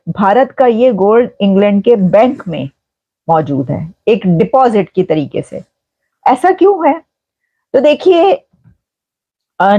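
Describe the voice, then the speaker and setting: Slow-talking woman news presenter, mellow voice. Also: medium pitch. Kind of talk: explanatory news commentary. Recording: dull, roomy recording.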